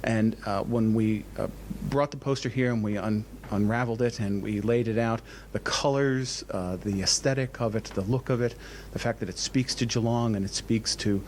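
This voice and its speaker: Halting, enthusiastic man over background noise. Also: on the low side. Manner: enthusiastic, halting with frequent repeated words. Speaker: museum director being interviewed. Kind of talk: interview answers, explanatory.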